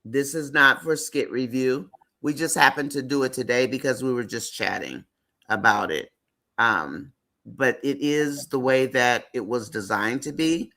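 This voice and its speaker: A man's voice: medium pitch, unhurried, sharp tone. A man hosting a live stream, chatting casually and rambling with frequent fillers.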